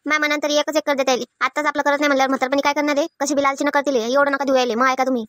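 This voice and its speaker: A quarrelsome village woman of cartoon comedy, acted by a woman, high-pitched and quick. She speaks rural Varhadi Marathi with a blunt, exasperated, complaining manner, recorded close and dry. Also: little pitch movement, a bit bright, no room sound. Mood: firm. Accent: rural Marathi, Varhadi dialect. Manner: scolding, complaining. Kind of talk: animated family comedy dialogue.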